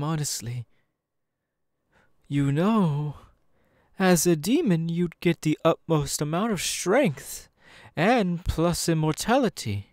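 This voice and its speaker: A man voicing a suave, menacing demon in an ASMR roleplay, with teasing, patronising, drawn-out phrasing, close on the microphone. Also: on the high side, a little mellow, dry recording. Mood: condescending curiosity. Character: suave, menacing male demon, playfully condescending and seductive. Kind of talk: ASMR audio roleplay, second-person monologue. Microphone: close dry microphone.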